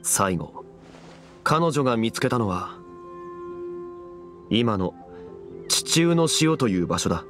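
A dignified, learned man speaks formally and deliberately. His mid-range voice moves with lively intonation, over faint music. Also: mid-range voice. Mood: solemn, explaining. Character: calm, learned, dignified man acting as consultant. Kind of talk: game voice acting, expository dialogue.

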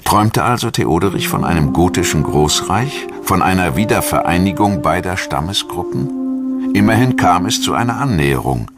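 A man reading scripted documentary narration in a measured, formal manner. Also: low-pitched, animated, loud music behind the voice.

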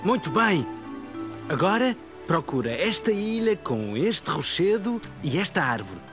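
Lively good-natured cartoon dad. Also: male voice, high-pitched, measured pace, over music. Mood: friendly, encouraging. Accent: European Portuguese. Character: good-natured young father playing first mate. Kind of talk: children's cartoon dubbing.

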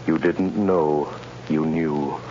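Enigmatic sleuth, old radio serial: calm, intoned, deep, drawn-out delivery. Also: male voice. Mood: solemn, triumphant. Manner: very slow, intoning. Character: mysterious calm male mind-probing crime fighter. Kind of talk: 1930s radio acting. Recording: muffled, hissy old radio recording.

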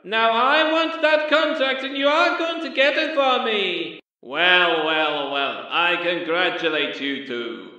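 A man's voice: high voice, animated, takes it slowly, pushed and bright, highs cut off, full character voice. A man playing a scheming, short-tempered cartoon villain boss, menacing and sarcastic, with an echoing megaphone effect. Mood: sly, menacing. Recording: echoing, distant megaphone-style sound.

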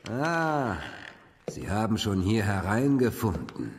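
A man playing a courtly, menacing old vampire count in a German radio horror drama, speaking formally and deliberately. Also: fairly low pitch, wildly swooping pitch, noisy background, full character voice. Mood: eager, brooding. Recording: long reverberant hall.

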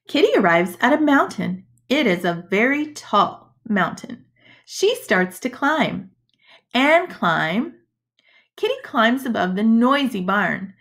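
A woman reading a storybook aloud to young children as a schoolteacher, in a deliberate, expressive manner. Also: a little high. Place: indoors, webcam screen recording.

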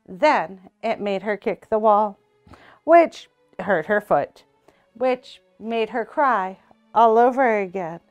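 A young woman reading a children's picture book aloud in a small indoor room, slow and expressive. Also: medium pitch, lo-fi sound, soft background music. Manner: slow, expressive read-aloud with character voices. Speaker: storytime reader.

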